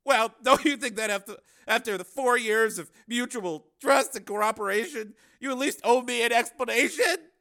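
A man's voice: very high-pitched, normal speed, gravelly, hardly any pauses, pushed and bright, pitched above the performer's own voice, full character voice. A man voicing a nervous, exasperated and sarcastic male TV cameraman in an audiobook. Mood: exasperated protest. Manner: agitated, protesting, sarcastic. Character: nervous, exasperated, sardonic young-sounding male TV news cameraman. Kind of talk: acted audiobook dialogue.

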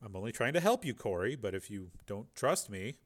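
A man voicing an older crime boss in an audiobook, talking softly and calmly to reassure a scared girl. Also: on the low side, brisk. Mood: soothing. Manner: soft, calm and reassuring. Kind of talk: audiobook character reading.

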